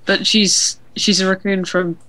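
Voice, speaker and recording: Playful woman co-hosting, medium-pitched voice. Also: flat delivery, measured pace, pushed and bright. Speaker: podcast co-host. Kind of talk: casual chat.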